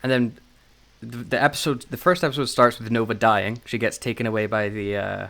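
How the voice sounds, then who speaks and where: A man's voice: mid-range voice, fairly even intonation, a little fast, creaky, a bit bright. A man co-hosting a casual podcast, talking in a rambling, scatterbrained way and swearing freely. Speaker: podcast co-host.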